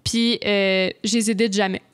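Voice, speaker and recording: A woman's voice: medium pitch, flat delivery, normal speed, clear, resonant tone. Chatty young Québécoise woman. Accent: Québécois French. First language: French. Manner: rambling, many fillers. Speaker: podcast guest. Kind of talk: casual chat.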